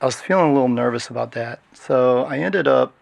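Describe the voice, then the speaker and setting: A gravelly-voiced middle-aged man from Washington State, talking through his past as a podcast guest. He is relaxed and unhurried, pausing and restarting often. The sound is dry and close to the mic. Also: medium pitch, no echo. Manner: relaxed, hesitant storytelling with many fillers. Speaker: guest, security consultant. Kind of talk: casual interview talk.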